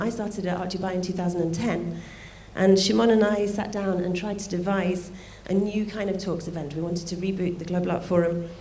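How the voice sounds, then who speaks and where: A woman with a croaky, gravelly voice opens an evening forum with a welcome speech. Her tone is mellow and unhurried, with light asides. She speaks into a microphone in a roomy hall with faint background noise. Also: on the low side. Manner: thanks people warmly, with light asides. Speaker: art fair director opening a forum. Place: event venue.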